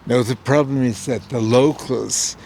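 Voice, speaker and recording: A man from Switzerland with a creaky voice, answering casually as an interviewed passer-by. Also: mid-range voice, a little slow, a little mellow. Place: outdoors in a mountain valley. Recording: noisy outdoor street, traffic.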